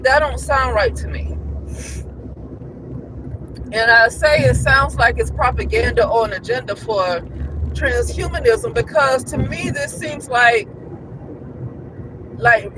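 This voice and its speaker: Man vlogger with a very high voice, rambling and repetitive, loud street traffic behind. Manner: repetitive, restarts often, rhetorical questions. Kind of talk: casual rambling monologue.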